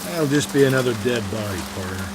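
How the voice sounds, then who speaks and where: An actor playing a cynical, folksy veteran American detective, wry and world-weary, in a crime video game. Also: male voice, lively intonation, fast, close to an everyday voice. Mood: needling, advising. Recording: noisy, rain and car rumble behind.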